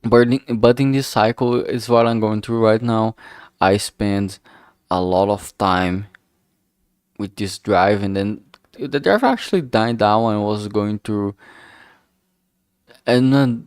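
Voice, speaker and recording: Low-voiced man, casual halting streamer. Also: darkish tone, clear, resonant tone, no room sound, clean studio sound. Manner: frank, heavily swearing, halting with self-corrections. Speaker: streamer, game developer. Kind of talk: casual rambling livestream chat.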